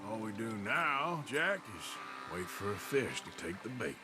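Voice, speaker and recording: Drawling middle-aged outlaw cowboy. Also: male voice, lowish voice, big pitch swings, a little slow. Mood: easygoing. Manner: easygoing drawl, patient and plainspoken. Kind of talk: video game cutscene acting. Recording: game audio with music underneath.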